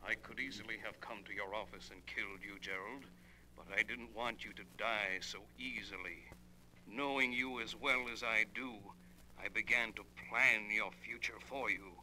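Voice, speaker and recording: A man in a 1940s radio drama playing a coldly vengeful man, speaking deliberately and with menace. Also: on the low side, steady tone, sharp tone. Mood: cold, menacing. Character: vengeful dead man coldly reading out his plan. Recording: noisy, humming old radio recording.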